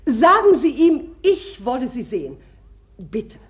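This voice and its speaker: A woman playing an imperious, excitable married society lady in a 1950s radio drama, insistent and theatrical. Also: sits high, measured pace. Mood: agitated, demanding. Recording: 1950s radio play recording.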